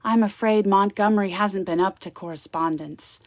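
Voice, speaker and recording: A woman's voice: mid-range voice, normal speed, no echo, lightly acted. Woman reading as an icy, formal manor matriarch. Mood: grave, curt. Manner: cold, formal, unwelcoming. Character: icy, formal society mother guarding her grand manor. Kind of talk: gothic romance storybook reading.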